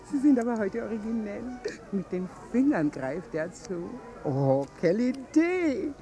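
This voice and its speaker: A woman's voice: on the high side, big pitch swings, slow pace, mellow, exaggerated voice. An actress playing a snobbish, flirtatious society lady in a 1950s radio play, with an affected, effusive manner. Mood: gushing, haughty.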